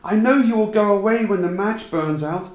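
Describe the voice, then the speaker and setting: A man voicing an earnest, innocent little girl in a storybook reading, slow and beseeching. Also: sits high, darkish tone, echoey room, pitched above the performer's own voice, lightly acted. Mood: desperate pleading.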